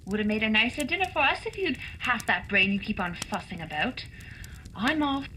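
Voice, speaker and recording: A woman's voice: fairly high pitch, lively intonation, a little fast, heavy background noise, close to an everyday voice. A woman playing a cheeky, sarcastic Victorian London housekeeper in a radio drama.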